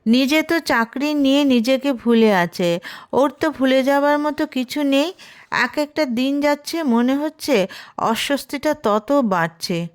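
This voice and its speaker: A woman's voice: high voice, measured pace. A woman reading a scripted romantic story aloud as a storyteller. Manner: reads character dialogue within the narration.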